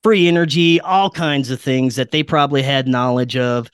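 A man's voice: medium pitch, fairly even intonation, normal speed, clean tone, no room sound. A man speaking as a podcast guest, explaining his views conversationally and sometimes searching for words.

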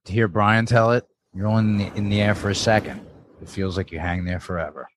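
Low-voiced jaded man read by an actor. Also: fairly even intonation, fairly quick, close to an everyday voice. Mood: brooding narration. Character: disheveled, haunted forty-year-old man, jaded and defiant. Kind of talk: dramatic table read of TV pilot.

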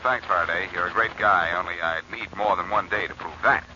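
An actor playing a cocky, quick-witted amateur sleuth in an American old-time radio detective drama, wisecracking and insistent. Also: male voice, low voice, steady tone, lightly acted. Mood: breezy, coaxing. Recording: muffled, noisy old radio recording.

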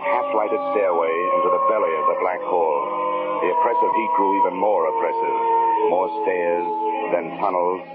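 A man acting the low-voiced, dry-witted investigator of a 1950s radio mystery, heard through a small tinny speaker late at night. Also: fairly even intonation, normal speed, over loud music, natural voice. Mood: tense narration. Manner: dry, sardonic, world-weary. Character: wry, hard-boiled male insurance investigator. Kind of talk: 1950s radio drama acting and noir narration. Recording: thin, band-limited old radio broadcast.

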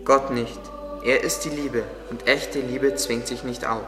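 A man's voice: mid-range voice, steady tone, measured pace, close to an everyday voice. Man playing a devout gardener, echoing. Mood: earnest, reverent. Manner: earnest, explanatory. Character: devout, kindly male gardener, patient teacher. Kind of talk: audio drama dialogue. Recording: echoey, long reverb, under music. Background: music.

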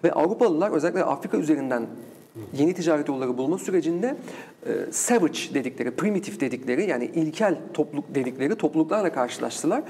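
A man's voice: on the high side, lively intonation, quick pace, warm tone, slight background noise. A man speaking as an interview guest and researcher, explaining in a discursive academic way with rhetorical questions.

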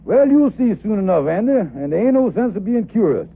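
A pompous, folksy schemer for old radio comedy. His voice is high and very husky, swinging widely as he holds forth in grandiose, mangled grammar. It comes through a tinny vintage broadcast. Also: sing-song, brisk, exaggerated voice. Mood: smug, wry. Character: pompous, sly male lodge leader and blowhard schemer. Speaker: co-host of a radio record show. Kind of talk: 1950s radio comedy acting.

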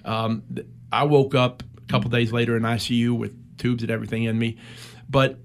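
Man, middle-aged, from the US, veteran, gravelly, mid-pitched, measured pauses, interview storytelling. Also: flat delivery. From United States. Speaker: military veteran guest. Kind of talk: personal war story in a conversational interview.

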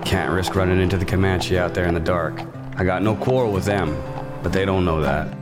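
Man voicing a hardened frontier bounty hunter, curt, threatening, low, music playing under. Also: steady tone, brisk, lightly acted. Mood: gruff. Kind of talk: western horror audio drama acting.

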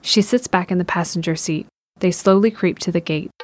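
A woman's voice: lowish voice, brisk. A woman reading scripted narration for an audio drama as its narrator. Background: music.

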